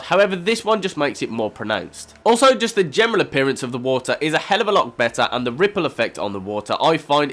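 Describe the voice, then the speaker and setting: Croaky-voiced man with a cold, upbeat and lively. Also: a little high. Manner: upbeat, keeps addressing viewers as guys. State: has a cold, voice a bit croaky. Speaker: host of a game mod review series. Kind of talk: gameplay voice-over review.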